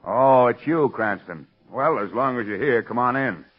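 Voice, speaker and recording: A man's voice: medium pitch, lively intonation, moderate pace, a crowd in the background, natural voice. Blustery American male police chief, overworked and exasperated, played by an actor, middle register, vintage radio drama with muffled sound. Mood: weary, sardonic. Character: harried, exasperated, gruff police commissioner. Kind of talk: 1930s radio drama acting. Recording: dull 1930s radio recording.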